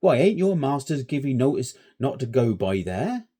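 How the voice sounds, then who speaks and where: A man's voice: mid-range voice, sing-song, mellow, breathy edge, voice pushed up. A man doing a grave old rustic shepherd. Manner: rustic dialect speech, slow and grave.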